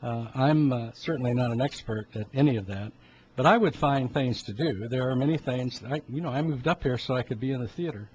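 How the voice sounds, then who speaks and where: A man in his seventies giving an informal health talk in a halting, rambling way, often clearing his throat. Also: medium pitch. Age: 70s. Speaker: health talk host, medical practitioner. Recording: dull lo-fi sound with background noise.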